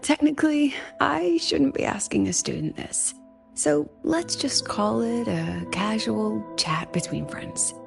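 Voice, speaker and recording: An actress playing a shrewd, scheming academy professor who talks in a coaxing, conspiratorial way. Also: female voice, fairly high pitch, animated, slow pace, gravelly, soft background music, heavily acted. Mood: sly. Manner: coaxing, conspiratorial, slightly awkward. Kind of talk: video game voice acting.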